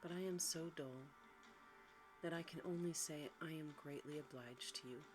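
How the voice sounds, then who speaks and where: A woman voicing a humble, gentle, melancholy male beast in a storybook reading, softly and with meek courtesy. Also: sits low, normal speed, music in the background, natural voice, poor audio. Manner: meek, self-deprecating, courteous. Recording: faint background noise.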